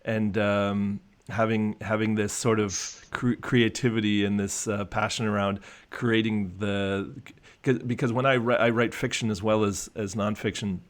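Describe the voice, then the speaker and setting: A man's voice: fairly low pitch, little pitch movement, normal speed, few pauses. A man working as a coach, talking in a casual conversational discussion, reflective and full of restarts and fillers. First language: English.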